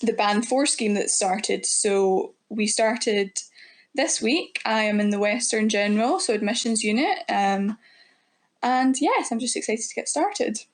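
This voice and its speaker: A woman's voice: a little high, a little slow. A woman in her twenties with a Scottish accent, chatting casually as a guest in an interview. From west coast of Scotland. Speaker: podcast guest, student nurse starting work.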